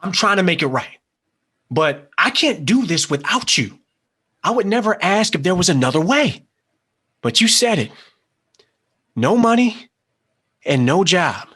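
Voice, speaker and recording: A man's voice: high-pitched, very animated, a little slow, long pauses, bright, forceful tone, slightly rough, full character voice. A man playing a desperate, insistent young schemer in a screenplay table read, pleading with feeling. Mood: pleading, urgent.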